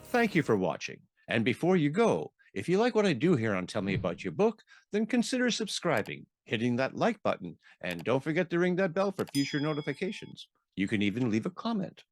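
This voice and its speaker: A man's voice: medium pitch, wildly swooping pitch, fairly quick, hardly any pauses. A man speaking conversationally as the host of an interview show.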